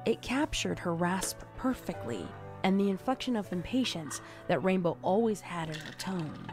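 A woman narrating a scripted horror story in a measured, dramatic storytelling manner. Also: on the low side, lively intonation, over music.

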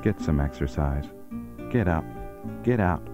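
An extremely deep-voiced man announces a scripted radio spot. Music plays behind him, and the recording is a little muffled. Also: lively intonation, a little slow.

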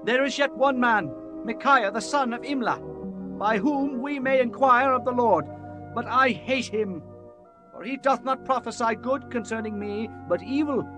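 A man's voice: extremely high pitch, moderate pace, music in the background. An actor playing a haughty, resentful king in a declamatory Bible drama. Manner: declamatory, theatrical.

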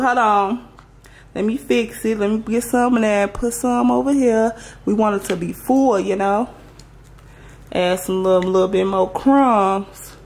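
A playful woman in her thirties chats through a home cooking vlog, her fairly high voice drawn out at an easy, unhurried pace. She talks straight to her viewers, breaking into sing-song exclamations. Her voice sounds roomy and a little distant, picked up by a camera across the kitchen. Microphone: far camera on tripod.

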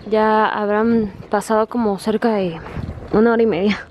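A woman's voice: mid-range voice, steady tone, moderate pace, muffled recording. A young woman chats casually and confidingly to her vlog viewers, often addressing them directly, into a camera at arm's length. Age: young adult. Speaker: vlogger. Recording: clear background noise, handheld camera.

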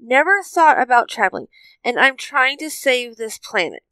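Audio drama heroine , acted by a woman: weary yet wistful, crisp bright tone, very dry recording. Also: fairly high pitch, sing-song, normal speed, dry recording. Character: Himiko, weary female hero ranger, wistful and grumbling. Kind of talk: audio drama character acting.

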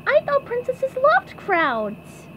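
A woman voicing an eager, childlike, bubbly male sea sponge fry cook from a cartoon storybook in an excitable way. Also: very high voice, slow, slight background noise, voice pushed up, heavily acted, poor audio. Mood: excited. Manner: excitable, exclamatory.

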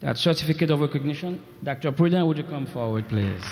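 A man's voice: on the high side, lively intonation, quick pace, very noisy. A man presenting awards at a gala, reading citations formally with joking asides, and saying he is losing his voice. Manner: reads citations formally, with asides and self-deprecating jokes. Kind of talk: ceremony announcing and reading citations. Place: large convention hall. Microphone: podium microphone. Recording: echoey convention hall, 1990s video.

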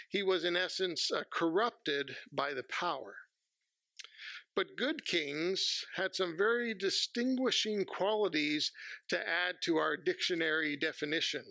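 A man, preaching a measured, earnest sermon from a church pulpit on a headset mic. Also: on the high side, pushed and bright, no room sound. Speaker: pastor.

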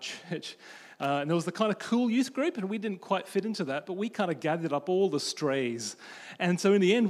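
Australian-born man speaking in a reverberant hall. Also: high voice. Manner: animated storytelling, pacing the stage. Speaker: conference speaker. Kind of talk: conference talk on faith and friendship. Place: large hall with a stage. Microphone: handheld mic.

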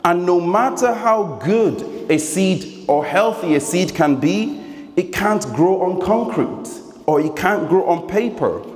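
A man's voice: fairly high pitch, a little mellow. A young man giving an earnest Friday sermon from notes at a stand microphone in a large mosque hall. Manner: earnest, reading from paper, chants Quranic verse. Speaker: preacher. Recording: echoey hall reverb.

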